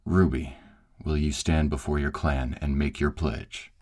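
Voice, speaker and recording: A man's voice: extremely low pitch, flat delivery, unhurried, darkish tone. A man playing a formal, authoritative shifter clan director who officiates a ceremony in an audio roleplay. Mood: formal, commanding.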